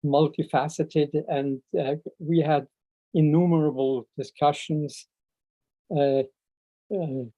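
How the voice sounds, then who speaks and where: An older man with a Swiss German accent speaks slowly and haltingly in a fairly high, mellow voice, pausing often to find his words. He is paying informal tribute on a video call, and the audio is dry and muffled. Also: steady tone, dry recording. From Switzerland. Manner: halting, searching for words, frequent long hesitations. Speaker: speaker at a memorial seminar. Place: video call, seated indoors. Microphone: video call microphone.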